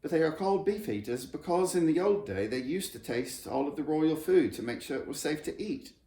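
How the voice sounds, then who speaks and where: An eager, knowing young girl in a children's storybook, voiced by a man. The pitch is lifted and swooping as the character helpfully explains, with room echo around it. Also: animated, brisk, mellow. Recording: roomy echo, background noise.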